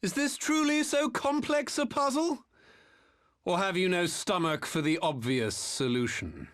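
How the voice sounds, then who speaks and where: A man's voice: sits high, lively intonation, a little slow, fairly bright tone. A man playing a smug, theatrical former imperial officer and master engineer in a video game, mocking with grandiose courtesy. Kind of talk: video game cutscene acting.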